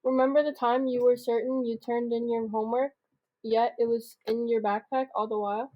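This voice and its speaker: A young girl acts out a schoolteacher in a comedic radio play. Her voice is fairly high, patient and a little reproving. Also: level tone, moderate pace, muffled recording, lightly acted. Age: child about twelve.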